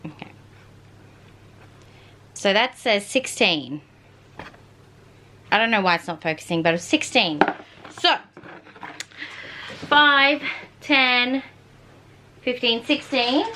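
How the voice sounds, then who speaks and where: An Australian woman in her twenties, chatting casually and informally in an excitable way, like a book vlogger. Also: fairly high pitch, slow pace, long pauses, noisy background. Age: 20s. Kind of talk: casual chatty vlog talk.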